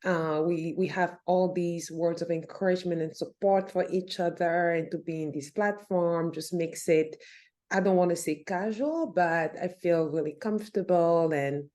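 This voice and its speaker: A woman from Cameroon, a guest on a podcast, telling her life story in a relaxed, reflective way with fillers and restarts. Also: on the low side, normal speed. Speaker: podcast guest, nonprofit founder. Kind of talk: casual interview conversation.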